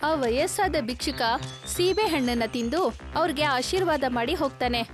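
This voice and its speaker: A woman reading scripted narration for an animated children's moral story. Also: high voice, normal speed, over music.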